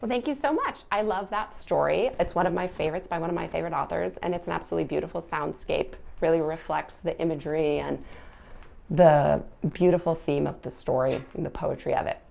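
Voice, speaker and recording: A woman hosting a children's read-aloud, speaking in a measured, expressive way. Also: low voice, vocal fry. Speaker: host reading a children's book aloud and answering questions. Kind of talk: read-aloud storytelling and informal explanatory talk.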